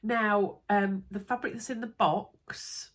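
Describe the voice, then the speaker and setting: A woman's voice: mid-range voice, steady tone, takes it slowly. A young woman with a Yorkshire accent and a stuffy, congested voice from a cold, chatting in a bubbly way as she unboxes a sewing parcel at home. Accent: Yorkshire English. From Yorkshire, England. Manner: bubbly, chatty, lots of little asides. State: congested with a cold, nasal and bunged up. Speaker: sewing vlogger.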